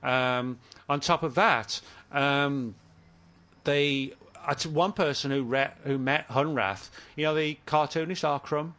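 A man's voice: fairly high pitch, takes it slowly, bright, forceful tone. A man in his sixties telling a story in casual conversation, with many fillers and restarts.

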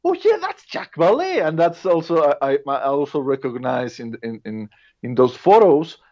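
A man's voice: fairly high pitch, sing-song, moderate pace, darkish tone. A man with a Mexican Spanish accent, a native Spanish speaker, chatting casually on a podcast, hesitant with many restarts. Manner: hesitant, many restarts and fillers, laughs often. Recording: dull lo-fi sound.

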